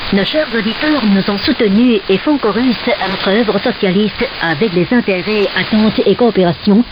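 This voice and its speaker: A woman radio announcer reading a formal scripted address in a measured, declamatory way over a noisy shortwave broadcast. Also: mid-range voice, muffled recording. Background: loud static hiss.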